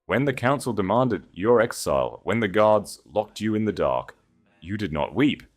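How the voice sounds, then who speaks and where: A proud sovereign grand duke, acted out by a male narrator, speaks in a low, solemn voice. His delivery is regal and declamatory, made for a storybook romance, with grave authority in every phrase. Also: animated, normal speed. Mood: grave remorse. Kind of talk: dramatic romance audiobook dialogue.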